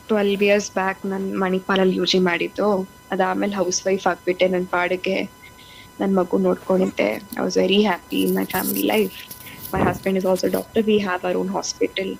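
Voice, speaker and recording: Rapid-talking young Indian woman, slightly creaky. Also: mid-range voice, rough recording. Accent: Kannada-accented Indian. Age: 20s. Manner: rambling storytelling. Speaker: medical intern chatting on a game stream. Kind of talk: casual bilingual chat.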